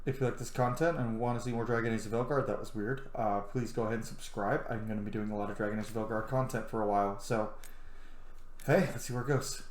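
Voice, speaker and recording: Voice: mid-range voice, steady tone, fast, reverberant. A gaming host giving casual, chatty, reactive let's-play commentary. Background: video game music.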